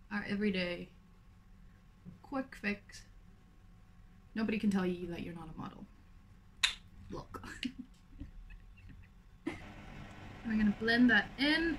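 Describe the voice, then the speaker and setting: Chatty woman in her twenties, fairly high voice, upbeat makeup-tutorial chat, faint hiss behind. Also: slow, pauses often, a bit bright, low-quality recording. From South Africa. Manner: chatty, upbeat, talks while applying makeup. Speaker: beauty vlogger. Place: small indoor room with pink wall.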